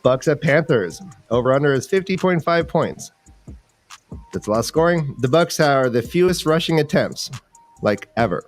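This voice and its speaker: A man's voice: fairly high pitch, lively intonation, normal speed. A man hosting a fantasy football livestream with casual, jokey banter and game previews, rambling and repeating phrases for emphasis.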